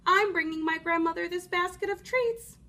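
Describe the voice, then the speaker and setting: A woman's voice: very high-pitched, normal speed, heavily acted. A woman voicing a naive, polite little girl in a storybook, earnest and eager. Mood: innocent, polite. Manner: bright, earnest, innocent.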